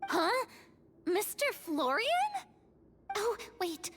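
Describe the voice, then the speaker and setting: An earnest, lively young heroine. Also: female voice, very high voice, extremely slow, noisy background, full character voice. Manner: earnest, curious, mutters thoughts aloud. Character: earnest young woman playing along in a role-play. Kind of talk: video game voice acting.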